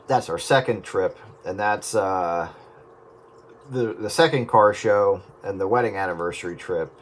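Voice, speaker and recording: A man's voice: lowish voice, measured pace. A man in casual radio chat, explaining things in a laid-back, meandering way. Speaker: radio round-up participant.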